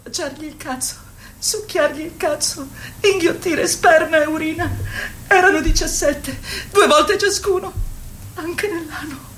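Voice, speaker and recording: Anguished wartime survivor, high-voiced, dramatic. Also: female voice, fairly even intonation, unhurried, a bit bright, a little hiss or hum. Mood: anguished, tearful. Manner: dramatic, swinging from shrill cries to low murmurs. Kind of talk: theatrical radio play monologue.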